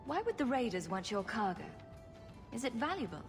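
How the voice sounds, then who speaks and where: A woman playing a sarcastic, quick-witted rogue adventurer with a flippant manner in a video game. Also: a little high, very animated, music in the background. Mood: wry. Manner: wry, flippant. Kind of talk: video game dialogue acting.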